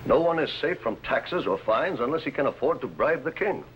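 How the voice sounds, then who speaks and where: A man's voice: medium pitch, lively intonation, brisk, natural voice. Man playing a grave rebel knight, dull recording. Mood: grave, indignant. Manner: formal, declamatory. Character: grave, indignant medieval English baron, a rebel knight. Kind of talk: 1950s television costume drama acting. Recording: dull, faintly noisy old TV soundtrack.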